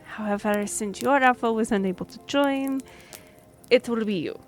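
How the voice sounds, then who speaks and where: A woman's voice: on the high side, normal speed, faint music behind, natural voice. A woman playing a courteous half-elf royal liaison in a fantasy audio drama, speaking formally and explaining things with some hesitation. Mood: formal, explanatory.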